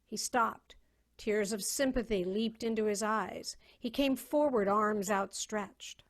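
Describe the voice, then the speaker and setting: Woman narrating a dramatic science fiction tale. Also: mid-range voice. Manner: measured, literary storytelling. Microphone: video call.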